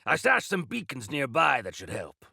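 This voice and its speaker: An actor playing a grandiose, eccentric exiled miner rebel in a video game, speaking in florid, archaic, theatrical phrases. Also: male voice, mid-range voice, huge pitch swings, moderate pace, vocal fry, pushed and bright, slightly rough, no room sound, studio quality, heavily acted. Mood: dry, confiding.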